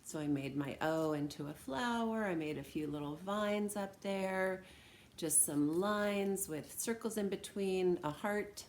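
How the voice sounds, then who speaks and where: A woman's voice: medium pitch, measured pace, darkish tone, slight background noise. An American woman teacher talking informally and encouragingly to students as she reads aloud and demonstrates things. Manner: encouraging, conversational.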